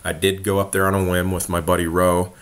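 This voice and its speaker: A very deep-voiced man with a hoarse, worn voice hosts a casual sports chat. He keeps it laid-back, joking with his co-host as he runs through the games. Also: little pitch movement, brisk, fairly bright tone. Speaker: podcast host.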